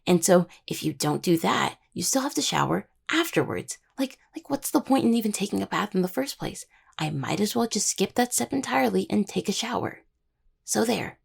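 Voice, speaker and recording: Husky, gravelly young necromancer woman, acted. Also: medium pitch, lively intonation, heavily acted. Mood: indignant, playful. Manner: halting, casual, teasing. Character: affectionate, playfully stubborn young necromancer healer, a devoted partner. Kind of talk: intimate roleplay audio, one-sided dialogue to listener.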